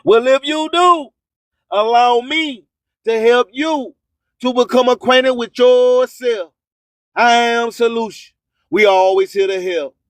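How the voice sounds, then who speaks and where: A man's voice: very high-pitched, takes it slowly, no echo. A man hosting a radio show, delivering promotional patter and preachy slogans, repeating phrases for emphasis. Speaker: radio host.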